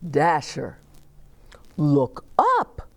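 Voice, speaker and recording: A jolly, commanding old Santa from a storybook, as a woman reader puts him on. He is kindly and emphatic, drawing his words out slowly. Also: deep voice, huge pitch swings, a little mellow, exaggerated voice. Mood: warm. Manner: drawn-out, emphatic delivery.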